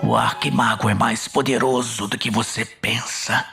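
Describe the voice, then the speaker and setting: A man voicing a weary, resentful, proud orc warlock in a fantasy audio series, muttering slowly in a hushed, breathy rasp. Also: medium pitch, steady tone, music in the background, natural voice. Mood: weary, pleading. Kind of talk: dramatic audiobook acting.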